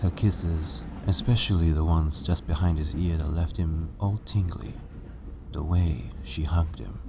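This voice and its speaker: A man's voice: deep voice, steady tone, unhurried, vocal fry, noisy background. A man narrating a story as a scripted reading. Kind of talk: scripted fan fiction narration.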